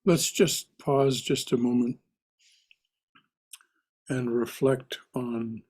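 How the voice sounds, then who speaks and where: A man leading a spiritual class, speaking in a hesitant, halting way with many fillers. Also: mid-range voice, steady tone, a little mellow. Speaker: class leader. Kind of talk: introducing a class reading.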